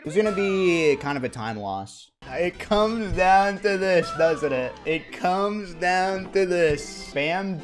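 A man in his thirties, originally from India, chatting and joking with his stream chat while playing a speedrun at home, with sudden loud outbursts. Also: high-pitched, lively intonation, takes it slowly, few pauses, faint music behind. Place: small home room, indoors.

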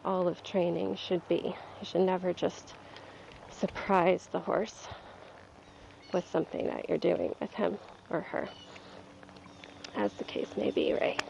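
A woman with a stuffy voice from a cold, calmly explaining her training as she rides in an outdoor arena. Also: on the low side, takes it slowly, gravelly, slight background noise. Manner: calm, coaching, talks while riding. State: stuffy, getting over a cold. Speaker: dressage rider and trainer. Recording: dull lo-fi outdoor sound. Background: faint outdoor ambience and horse.

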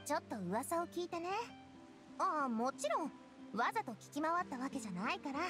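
A woman's voice: sits high, big pitch swings, fairly quick, music in the background. An actress playing a confident, breezy young lady in an anime-style game, casual, direct and knowing.

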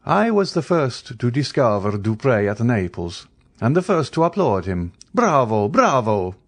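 A man's voice: medium pitch, sing-song, unhurried, voice pushed up. A man voicing a proud, mocking, coldly menacing aristocratic count in an audiobook, in a haughty, formal, declamatory manner. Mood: suave, amused.

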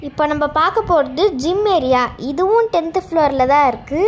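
A woman's voice: high-pitched, expressive, fairly quick. A woman narrating a hotel tour as a vlog voice-over. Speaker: vlogger narrator.

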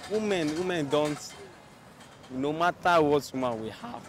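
A young Nigerian man in a street interview, animated and hesitating with fillers. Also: a little high, a little slow. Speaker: passerby interviewee. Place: busy city roadside outdoors. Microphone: handheld mic held by interviewer. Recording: outdoor street with traffic noise.

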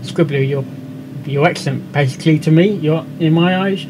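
A young man with an English accent giving casual, halting, rambling reaction commentary as the host of a video. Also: on the high side, background noise. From England. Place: indoor room at a computer. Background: music.

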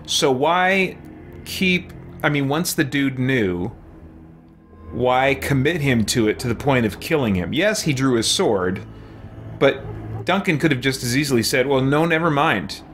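A man's voice: medium pitch, animated, unhurried, a bit bright, faint music behind. A middle-aged man giving casual let's play commentary, musing and thinking aloud. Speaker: game streamer. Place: room with shelves at home.

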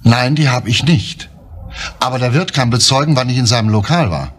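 An actor playing a composed, earnest police inspector in a German crime radio drama, speaking deliberately and insistently. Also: male voice, medium pitch, close to an everyday voice. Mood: controlled, defensive.

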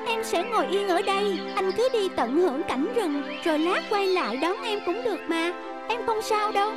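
A woman voicing a sweet, earnest young schoolgirl in a cartoon, polite and softly sincere. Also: extremely high pitch, lively intonation, moderate pace, loud music behind the voice. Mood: pleading, reassuring. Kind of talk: animated cartoon dubbing.

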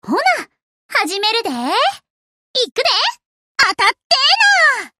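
A woman's voice: very high-pitched, wildly swooping pitch, slow pace, pushed and bright, slight background noise, full character voice. A woman voicing a cheerful, easygoing young warship girl in an anime game, with a casual, folksy Kansai accent. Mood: perky, confident. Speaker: voice actor of a game character. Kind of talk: anime game character voice lines.

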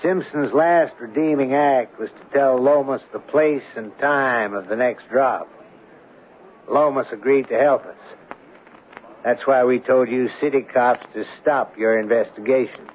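An actor playing a grave, authoritative American security official in a 1970s radio drama. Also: male voice, medium pitch, a little slow, lightly acted. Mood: grave, reproving. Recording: muffled, hissy old radio broadcast.

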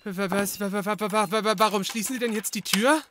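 A man's voice: high-pitched, steady tone, fast talker, pushed and bright, slight background noise. An actor playing a fussy, easily flustered male detective's assistant in a German comedy audio drama, nervous and indignant. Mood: hesitant, nervous. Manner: nervous, stammering when rattled, indignant.

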